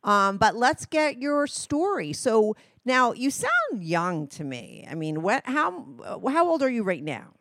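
A woman's voice: on the high side, big pitch swings, a little slow, hardly any pauses, a bit bright, no echo, studio quality. A middle-aged woman hosting a podcast, chatting candidly and bluntly, swearing and laughing often. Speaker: podcast host. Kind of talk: casual interview chat.

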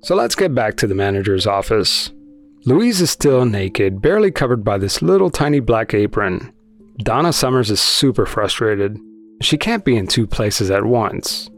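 A man's voice: fairly low pitch, very animated, normal speed, soft background music. A man hosting a podcast, telling a true-crime story in a conversational narration style. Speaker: podcast host.

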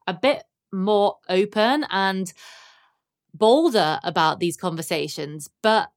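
A woman's voice: mid-range voice, slow. A woman hosting a podcast, chatting casually and saying "like" often. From United Kingdom. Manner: chatty, frequent "like".

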